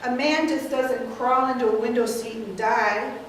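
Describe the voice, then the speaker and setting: A woman's voice: a little high, normal speed, slight background noise, natural voice. A woman reading the part of an exasperated young male nephew, pressing anxious questions in an echoey room, far from the mic. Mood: incredulous. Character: fretful young male nephew, a drama critic.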